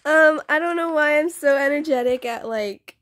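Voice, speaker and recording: A woman's voice: high-pitched, moderate pace, fairly bright tone, no room sound, clean studio sound. A young woman doing a casual, chatty house-tour voice-over for a game video, often interrupting herself in an informal way. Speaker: video creator.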